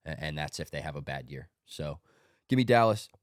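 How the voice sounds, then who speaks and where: Male podcast host, low voice, slightly congested, dry close sound, offhand joking read. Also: expressive, brisk, dry recording. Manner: offhand, joking, talks through his picks. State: congested from a cold. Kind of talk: casual sports podcast monologue.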